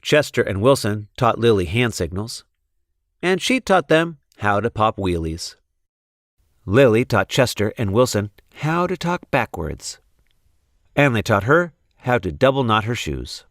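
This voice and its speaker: A man reading a children's storybook in an expressive narrating style. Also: on the low side, a little slow, no room sound, clean studio sound. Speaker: storybook reader.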